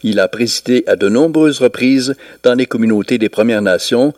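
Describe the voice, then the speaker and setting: A man's voice: medium pitch, normal speed, a little mellow, no room sound. Man reading news, dry close sound. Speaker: radio reporter. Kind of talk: scripted news report.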